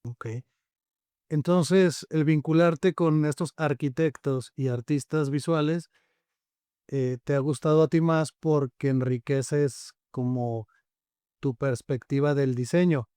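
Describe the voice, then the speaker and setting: A Mexican man, native in Spanish, interviews a guest in a conversational style. His voice sits a little high and is clear and resonant. The recording is dry, with little room sound. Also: fairly even intonation, measured pace, mellow, dry recording. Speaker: podcast host, interviewer.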